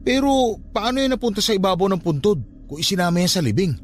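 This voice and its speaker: Mischievous young nephew: whiny protests, animated delivery, radio drama with faint music. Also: male voice, high voice, fast talker. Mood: curious disbelief. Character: defensive, curious young nephew caught in mischief.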